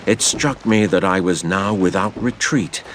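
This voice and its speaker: A man narrating a dramatised adventure story in a theatrical, measured storytelling manner. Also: low voice, a little hiss or hum.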